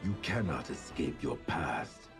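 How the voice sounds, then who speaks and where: A cold shadow ninja master from a video game, with a hugely deep, gravelly voice. He makes slow, ominous pronouncements full of proud menace over clear background music. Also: lively intonation. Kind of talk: dramatic video game voice lines.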